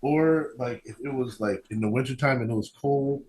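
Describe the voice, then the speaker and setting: A man's voice: mid-range voice, moderate pace, a little mellow, a little hiss or hum, low-quality recording. A man hosting a podcast in casual conversation, jokey and repeating phrases for emphasis. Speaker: podcast host. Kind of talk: casual chat.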